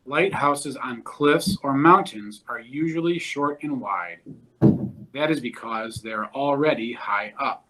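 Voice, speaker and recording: A man reading a children's nonfiction book aloud in a measured, deliberate way. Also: mid-range voice, sharp tone, low-quality recording.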